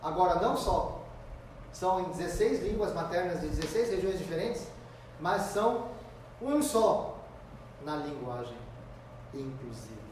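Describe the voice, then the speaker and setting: A man preaches from far across a very echoey church sanctuary, his slightly high voice carrying through the noisy room. His delivery is emphatic, slowing down on key words and swinging between loud and hushed. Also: lively intonation. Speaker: pastor. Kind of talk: expository sermon.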